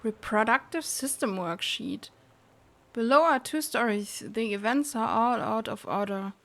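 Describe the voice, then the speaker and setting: Slow-paced, higher-voiced woman streamer reading aloud. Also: a bit bright. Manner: giggly, breaking into laughter while reading. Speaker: live streamer playing a video game. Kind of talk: reading game text aloud on a livestream.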